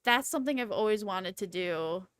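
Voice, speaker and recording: A woman in her twenties chatting casually on a livestream in a rambling, playful, excitable and slangy way. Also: mid-range voice, moderate pace, no room sound. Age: 20s. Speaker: livestreamer, VTuber host.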